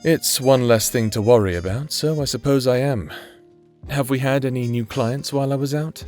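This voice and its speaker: A man playing a sardonic, world-weary private investigator in a fantasy noir audio drama, with a dry, formal, deadpan delivery. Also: medium pitch, moderate pace, lightly acted. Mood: dry, unbothered. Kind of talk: noir audio drama acting with scripted monologue. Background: background music.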